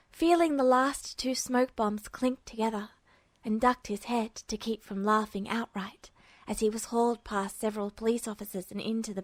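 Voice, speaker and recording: A woman's voice: on the high side, darkish tone, dry recording. A woman reading fan fiction aloud as a scripted story narration. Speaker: narrator, podfic reader.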